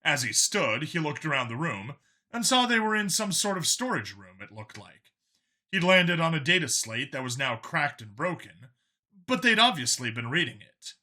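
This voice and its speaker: A man's voice: fairly high pitch, very animated, normal speed, pushed and bright. A man narrating a scripted action story as an audiobook narrator, in a dramatic reading style.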